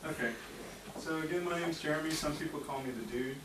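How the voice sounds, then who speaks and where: A man from the United States giving a relaxed, chatty talk at a tech meetup, with fillers and small laughs. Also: fairly high pitch, a little fast. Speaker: conference speaker. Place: meeting room at a tech office. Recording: echoey room, distant mic, noisy.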